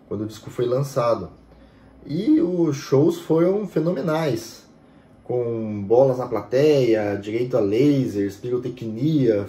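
Man explaining in an echoey room. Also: mid-range voice. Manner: steady, explanatory, frequent "né" fillers. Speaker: music history channel host. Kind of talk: explanatory talk to camera. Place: home room with bookshelves.

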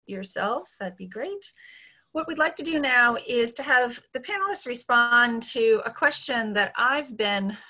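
A woman's voice: medium pitch, sharp tone. A woman moderating an online panel discussion. She lays out the next steps in an orderly way. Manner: organized, guiding the panel through the steps.

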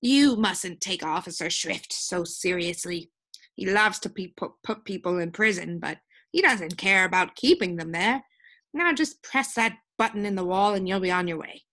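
A woman voicing a kindly, resigned old witch from a storybook, speaking in a halting, motherly, reassuring way. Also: lowish voice, lively intonation, fairly bright tone. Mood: mildly scolding.

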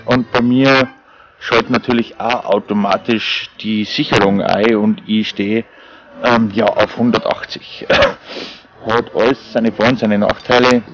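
A man's voice: medium pitch, muffled recording. A man, talking casually to camera and his dog while camping in a forest, rambling with many fillers. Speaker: outdoor vlogger. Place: forest camp at night, outdoors.

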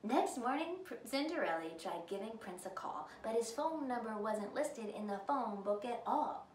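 A woman's voice: fairly high pitch, lively intonation, normal speed. A woman in her thirties reading a children's story aloud in a theatrical, emphatic way. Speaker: storyteller, show host. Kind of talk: dramatic children's read-aloud. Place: living room by a fireplace, indoors. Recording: roomy, distant mic, faint noise.

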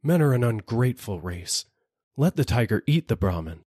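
An old banyan tree, voiced by a man reading a storybook, speaking in a tired, husky voice. It sounds worn out and bitter about ungrateful people. The sound is dry. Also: mid-range voice, big pitch swings, moderate pace, darkish tone, no room sound, pitched above the performer's own voice. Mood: weary.

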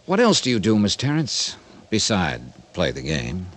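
An actor playing a tough, dogged investigator in a 1950s radio drama, terse and wry, in hardboiled style. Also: male voice, lowish voice, wildly swooping pitch, moderate pace, fairly bright tone, exaggerated voice. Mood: probing, wry. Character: Johnny Dollar, tough, dogged male investigator. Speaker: lead actor and narrator. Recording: noisy old radio drama recording.

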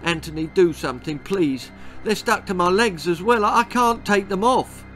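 A man's voice: mid-range voice, lively intonation, moderate pace, fairly bright tone, close to an everyday voice. A man voicing a skinny, anxious boy athlete who stammers and trembles, over faint music. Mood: panicked. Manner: timid, stammering, near tears.

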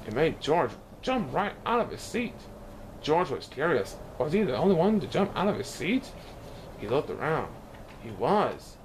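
Animated man reading a children's book. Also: fairly high pitch, moderate pace, a little hiss or hum, rough recording. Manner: expressive, stumbling now and then over words. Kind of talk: animated picture-book read-aloud.

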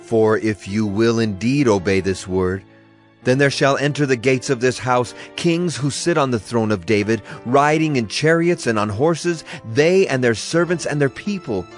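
An actor playing a stern prophet pronouncing divine judgment, solemn and declamatory, in a dramatized scripture reading. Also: male voice, mid-range voice, lively intonation, moderate pace, soft background music, lightly acted. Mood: solemn, commanding. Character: stern male Hebrew prophet pronouncing God's wrathful judgment.

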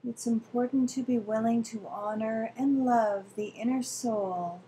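A woman leading a guided visualization meditation in a soothing, gentle, deliberate manner with long pauses. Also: fairly high pitch, fairly even intonation, slight background noise, poor audio.

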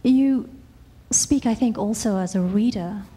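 Audience questioner: woman from South Africa, dark husky voice, earnest, handheld mic in a big hall. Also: medium pitch, unhurried. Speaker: audience member. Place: large auditorium. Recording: long hall reverb.

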